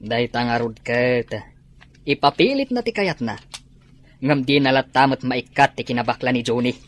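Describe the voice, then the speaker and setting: A man's voice: mid-range voice, lively intonation, moderate pace, lightly acted. A man acting a resigned, sympathetic rural father in a comedy drama. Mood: resigned, disapproving.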